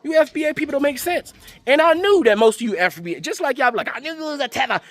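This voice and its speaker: A man's voice: very high-pitched, expressive, fast talker. A man hosting a commentary channel, confrontational and slangy, firing off rhetorical questions. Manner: slangy, profane. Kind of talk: rant-style commentary.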